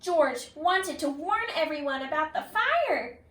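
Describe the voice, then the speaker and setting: A woman reading a picture book aloud like a kindergarten teacher, expressively, pausing to put questions to young listeners. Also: sits high, a little hiss or hum. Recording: roomy echo, distant from the mic.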